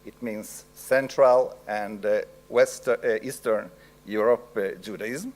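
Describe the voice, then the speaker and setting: A middle-aged man with an Italian accent giving an academic lecture, slow and halting with frequent pauses. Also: lowish voice, steady tone, darkish tone.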